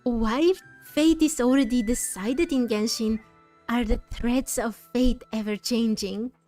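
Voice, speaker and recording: Slow-talking, higher-voiced woman gamer. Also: dark tone. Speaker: gaming streamer. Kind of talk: game playthrough, reading dialogue aloud and musing. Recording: dry, faint game music behind.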